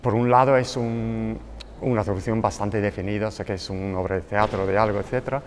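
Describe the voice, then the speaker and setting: A man's voice: fairly low pitch, steady tone, a little fast, slight background noise. Man, fluent and enthusiastic. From United Kingdom. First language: English. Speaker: translator. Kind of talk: interview answer.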